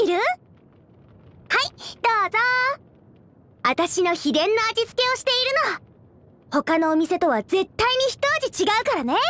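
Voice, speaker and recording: A voice actress playing a cheerful, eager teenage girl cook in an anime fantasy game, chatty and expressive. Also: extremely high pitch. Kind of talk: animated anime game character acting.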